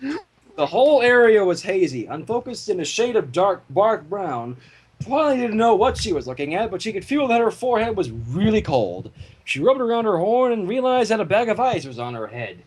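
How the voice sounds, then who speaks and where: Animated, slightly husky woman reading a story. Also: medium pitch, moderate pace, rough recording. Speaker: fan fiction reader and narrator.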